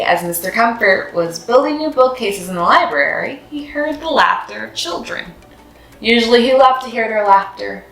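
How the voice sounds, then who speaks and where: A woman's voice: mid-range voice, fairly bright tone. Woman reading a children's story in a roomy space. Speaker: host of a children's read-aloud series. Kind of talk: expressive storybook narration for children. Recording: roomy echo with music behind. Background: music.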